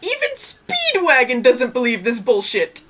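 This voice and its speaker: A woman's voice: sits high, huge pitch swings, unhurried, sharp tone, a little hiss or hum. A woman co-hosting a casual review with mocking, sardonic banter. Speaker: co-host of an anime review show.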